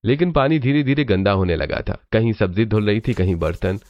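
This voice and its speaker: A man's voice: fairly low pitch, a little fast, darkish tone. A man narrating a scripted cartoon story as its storyteller.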